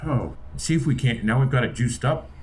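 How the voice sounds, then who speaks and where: A man's voice: medium pitch, normal speed, a little mellow, a little hiss or hum. A man playing in a tabletop game, easygoing and hesitant, with plenty of fillers. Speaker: player. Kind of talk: casual tabletop game chat.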